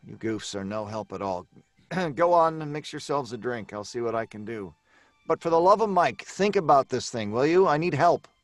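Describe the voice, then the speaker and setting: A man's voice: medium pitch, expressive, normal speed, dry recording, lightly acted. An actor playing an irritable, frazzled male writer in an old time radio drama, blustering and stammering with exasperation. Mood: exasperated.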